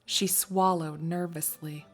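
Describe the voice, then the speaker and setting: A woman reading scripted story narration in an expressive, wry storytelling manner. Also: lowish voice, slow, soft background music. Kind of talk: scripted fan fiction narration.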